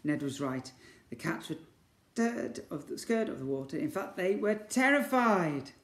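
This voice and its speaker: Guy reading kids a story, really animated and theatrical, echoey room. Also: high voice, unhurried. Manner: theatrical, exclaims sound words. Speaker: school story reader.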